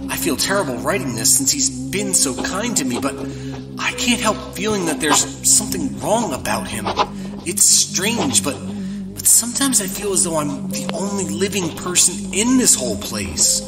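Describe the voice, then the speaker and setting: A man's voice: high voice, sing-song, normal speed, recorded from a distance, over loud music, low-quality recording. An actor playing a nervous young male land agent who talks in a hesitant, stammering way. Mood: fearful. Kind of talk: audio drama acting.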